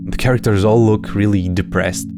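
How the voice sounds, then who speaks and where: Low-voiced man narrating over music. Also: fairly even intonation, moderate pace. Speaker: host of a horror series breakdown video. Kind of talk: scripted video commentary. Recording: music behind the voice.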